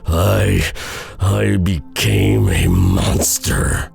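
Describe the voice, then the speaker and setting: Man playing a very deep, haunted cursed villager. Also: very animated, gravelly, darkish tone, soft background music, heavily acted. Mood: bitter anguish. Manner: halting, haunted, bitter confession. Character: doomed, remorseful man cursed as a werewolf.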